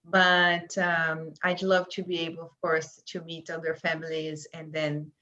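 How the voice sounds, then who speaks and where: A woman's voice: sits low, monotone, measured pace, bright, forceful tone. A woman speaking hesitantly on a video call as a parent, with many fillers. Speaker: participant parent. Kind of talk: casual video call chat. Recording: muffled lo-fi call audio.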